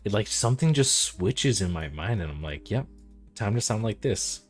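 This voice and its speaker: A man in his twenties with a deep, calm voice, chatting casually on a stream and rambling with lots of "like". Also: normal speed, soft background music. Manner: laid-back, rambling, heavy use of "like". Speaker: game developer streaming.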